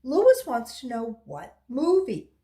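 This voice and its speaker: A woman voicing an eager young schoolboy in a storybook, calling out excitedly. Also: on the high side, slow pace, voice pushed up, full character voice.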